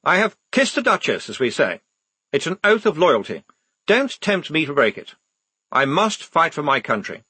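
A man's voice: fairly high pitch, sing-song, normal speed, sharp tone, slightly rough, dry recording, pitched above the performer's own voice, full character voice. A man doing a stiff, earnest young lieutenant. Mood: defiant. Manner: speechmaking, stiff and formal. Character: nervous, earnest young male lieutenant, formal.

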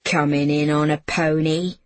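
A woman's voice: low-pitched, level tone, measured pace, no echo. A woman voicing an angry working-class English mother in an audiobook, scornful, suspicious and blunt in her colloquial scolding. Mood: scornful outrage. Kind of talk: audiobook character voice.

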